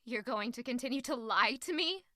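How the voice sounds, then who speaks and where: A woman's voice: on the high side, animated, normal speed, bright, forceful tone, no room sound, clean studio sound. A woman acting a stubborn, grieving young refugee who feels betrayed, intense and accusatory in a fantasy audio drama. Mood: accusing. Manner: intense, accusatory, emotionally charged.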